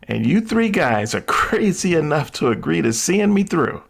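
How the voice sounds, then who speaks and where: A reckless young man from an old-time radio thriller, played by a male actor. His voice is husky and fairly high, and it swings freely as he talks. He is flippant and defiant, a thief in it for the thrill. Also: big pitch swings, normal speed, exaggerated voice. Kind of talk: vintage radio drama acting.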